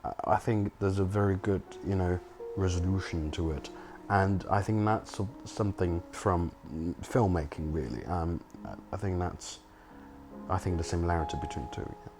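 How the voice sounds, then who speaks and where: A young man from China speaks in a deep, low voice, thinking aloud with frequent restarts and fillers. He talks reflectively in a documentary interview. Clear music plays underneath. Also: moderate pace. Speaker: filmmaker and home cook.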